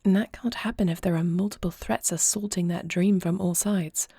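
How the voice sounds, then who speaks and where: A woman plays an earnest fantasy princess who leads in war. Her voice is low and mellow with a gravelly edge, and she speaks formally and solemnly, as in a scripted audio drama. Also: steady tone, dry recording, studio quality. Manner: formal, solemn, deliberate phrasing. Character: young adopted princess and war leader, earnest, measured, regal. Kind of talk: scripted audio roleplay acting.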